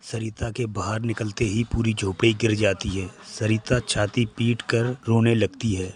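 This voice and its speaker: A man's voice: fairly low pitch, level tone, a little slow. A man reading scripted narration for a cartoon moral story.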